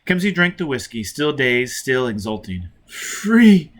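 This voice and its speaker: A man's voice: mid-range voice, big pitch swings, normal speed, pushed and bright. A man reading a novel aloud as dramatic scripted narration. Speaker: audiobook reader.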